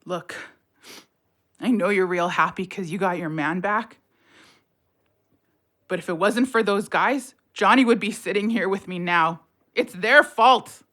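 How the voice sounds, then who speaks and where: A woman's voice: medium pitch, a little slow, long pauses, a bit bright, natural voice. An actress playing a bitter, sardonic widowed mother of three in a naturalistic audio play, blunt and colloquial in her talk. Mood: angry, accusing. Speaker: voice actor in audio drama.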